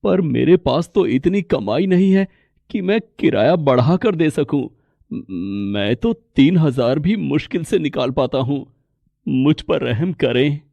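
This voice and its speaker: Man as a humble poor shopkeeper, slow-spoken. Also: a little high, animated, gravelly, dark tone, no room sound, muffled recording, full character voice. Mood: pleading. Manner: plaintive, halting, earnest. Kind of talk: Hindi moral story cartoon voice acting.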